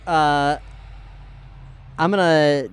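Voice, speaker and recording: A man chatting casually as a tabletop game player, thinking aloud in a halting way. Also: fairly high pitch, steady tone. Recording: clear background noise.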